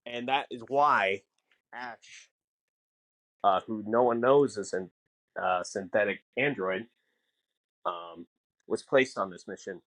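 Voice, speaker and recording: A man co-hosting a podcast, explaining casually in a halting way, piecing his thoughts together. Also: fairly low pitch, fairly bright tone. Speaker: podcast co-host.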